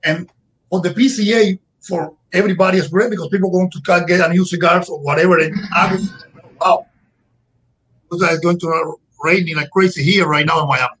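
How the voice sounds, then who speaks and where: A Cuban man in his forties speaks English with a Cuban Spanish accent in a casual interview. His voice sits high for a man and has a husky edge. He talks haltingly, doubling words as he hunts for phrases in his second language. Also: steady tone, a bit bright, poor audio. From Cuba. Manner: halting, repeats words while searching for English. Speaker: guest.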